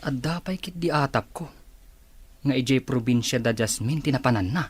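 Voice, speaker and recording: An actor playing a suspicious, speculating employee in an Ilocano radio drama, with an Ilocano accent. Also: male voice, medium pitch, moderate pace, husky, close to an everyday voice. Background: music.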